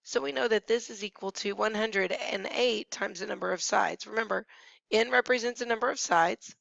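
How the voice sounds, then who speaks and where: A woman math teacher who has nearly lost her voice, hoarse and at times whispering, patiently talking through each step of a screencast lesson. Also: medium pitch, moderate pace, fairly bright tone, no room sound. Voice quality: hoarse, gravelly, sometimes dropping to a whisper. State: losing her voice. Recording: dry, dull lo-fi screencast audio.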